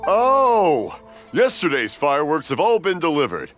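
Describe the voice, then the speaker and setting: A cheery deaf old fireworks maker. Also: male voice, on the high side, huge pitch swings, measured pace, full character voice. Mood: mishearing. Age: older. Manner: rambling storyteller, mishears, laughs warmly. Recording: game audio with music behind.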